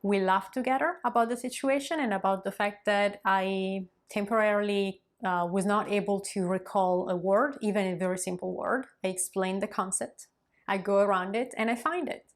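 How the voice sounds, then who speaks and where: A woman's voice: mid-range voice, moderate pace. Encouraging woman coach. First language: Italian. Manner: warm, encouraging, conversational with occasional fillers. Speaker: Italian language coach. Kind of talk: direct-to-camera coaching talk.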